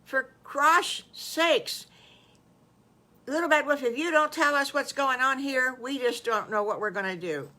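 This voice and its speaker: Woman as a high, loud, impatient little pig. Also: lively intonation, normal speed. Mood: exasperated, pleading. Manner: high, loud, insistent, stammering repeats.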